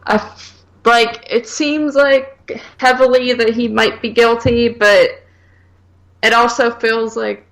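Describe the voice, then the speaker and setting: Creaky-voiced woman chatting casually on a podcast. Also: a little high, flat delivery, slow, low-quality recording. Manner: hesitant, hedging, heavy use of "like". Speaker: podcast co-host. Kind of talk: casual chat.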